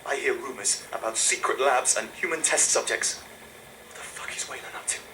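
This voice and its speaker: A man's voice: mid-range voice, moderate pace, bright, forceful tone, far from the mic, a little hiss or hum, natural voice, low-quality recording. A man playing an anxious, suspicious colony employee in a game audio log, with a tense, agitated delivery.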